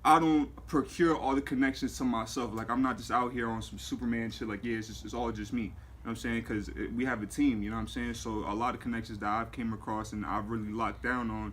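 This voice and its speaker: Laid-back man in his twenties, interview guest. Relaxed and rambling, with faint room hiss. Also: medium pitch, fast talker, few pauses. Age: 20s. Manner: laid-back, repeats "you know what I'm saying", lots of fillers. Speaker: music manager. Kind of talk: casual interview. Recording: faint background noise.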